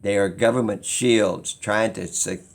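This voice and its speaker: A man's voice: on the low side, steady tone, measured pace, gravelly. A man giving an unscripted opinion monologue as a video creator, rambling and insistent, repeating phrases for emphasis.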